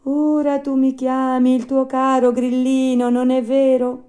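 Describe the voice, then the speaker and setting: A woman's voice: high-pitched, monotone, unhurried, dark tone, voice pushed up. A woman voicing a tiny talking cricket, a stern little storybook moralist with a small, thin voice. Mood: reproachful.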